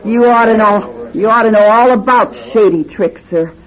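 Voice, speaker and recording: A woman's voice: fairly high pitch, lightly acted. An actress playing a troubled stage psychic, a blunt woman making dramatic pronouncements in a radio drama. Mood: ominous, accusing. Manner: slow, dramatic, accusing pronouncements. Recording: dull radio recording with crowd noise.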